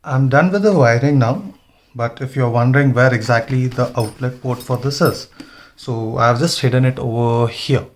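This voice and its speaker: A man's voice: mid-range voice, moderate pace, slight background noise. A man giving an informal hands-on tutorial, thinking aloud as he works. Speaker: motovlogger demonstrating gear installation. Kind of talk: casual hands-on tutorial narration.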